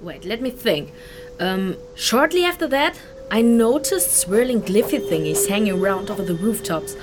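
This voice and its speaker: Casting: actress as candid woman refugee, informal and very expressive, mid-pitched, fantasy audio drama. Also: normal speed, music in the background, lightly acted. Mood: bemused. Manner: informal, slangy, expressive, hesitant recollection. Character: chatty, candid human woman refugee, easily amazed.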